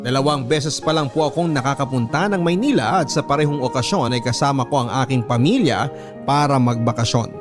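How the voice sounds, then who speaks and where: A man with a Filipino accent reading a letter as a radio drama narrator, in an earnest, measured storytelling manner. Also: medium pitch.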